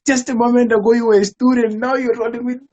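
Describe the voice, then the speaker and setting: A woman's voice: fairly high pitch, level tone, fast talker, darkish tone. A woman with a South African accent hosting a casual, slangy interview. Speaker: interview host.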